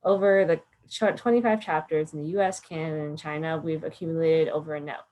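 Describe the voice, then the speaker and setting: A woman moderator introducing a speaker in a friendly, slightly halting way. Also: low voice, darkish tone. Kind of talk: event introduction.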